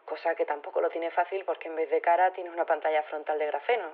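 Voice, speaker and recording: A woman's voice: low voice, fairly even intonation, quick pace. Flustered teacher-activist lady calling into radio, thin phone sound, chatty, rambles and hesitates, earnest. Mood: earnest, indignant. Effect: telephone filter. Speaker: phone-in interviewee. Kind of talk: radio interview in audio fiction. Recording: thin, narrow phone line.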